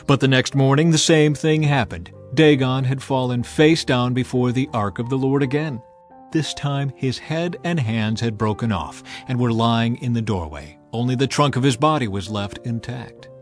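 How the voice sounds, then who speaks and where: A man reads scripture as a dramatized audiobook, with a solemn storytelling manner. His voice sits at a middle pitch, and music plays faintly behind him. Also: animated, normal speed. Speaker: narrator.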